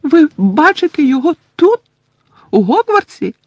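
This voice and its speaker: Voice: sits high, big pitch swings, moderate pace, no echo, pitched above the performer's own voice. A reader voicing a tearful, devoted female house-elf who sobs and talks in broken grammar about herself in the third person. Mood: breathless. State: sobbing.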